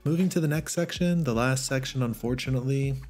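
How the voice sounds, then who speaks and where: A man's voice: medium pitch, brisk, soft background music. A man narrating a casual vlog about the books he picked up, chatty and enthusiastic, with a voice that is a little worn. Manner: chatty, enthusiastic, laughs softly. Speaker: manga vlogger.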